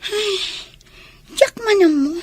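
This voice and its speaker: A woman's voice: extremely high pitch, takes it slowly, dry recording. A woman with a Filipino accent playing a torn, plaintive young student in love in a radio drama. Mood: sad, defensive.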